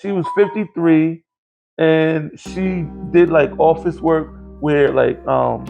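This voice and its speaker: Man, late forties, podcast host, gravelly voice, somewhat high pitch, rambling and profane. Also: steady tone, takes it slowly, a little mellow, lo-fi sound, faint music behind. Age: 40s. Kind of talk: casual podcast chat.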